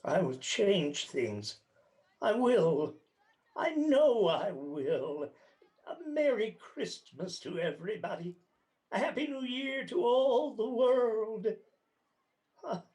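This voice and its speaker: An actor playing a fervent, repentant old miser in a radio play. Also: male voice, high-pitched, big pitch swings, takes it slowly, darkish tone, full character voice. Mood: fervent joy. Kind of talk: stage-style radio play acting. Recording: clearly noisy background ambience.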